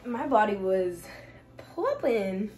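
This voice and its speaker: A woman in her twenties from Miami, telling a casual storytime from her bedroom, animated, swinging from loud exclaiming to hushed asides. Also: mid-range voice, takes it slowly. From Miami, United States. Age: 20s. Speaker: vlogger. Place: bedroom, indoors. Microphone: camera in front, seated.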